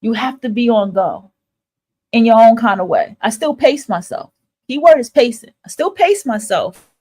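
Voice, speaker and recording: A woman's voice: on the high side, expressive, moderate pace, mellow, husky. A woman host talking casually and candidly to her listeners in an emphatic, repetitive, motivational monologue, swearing freely. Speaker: host of a spiritual advice livestream.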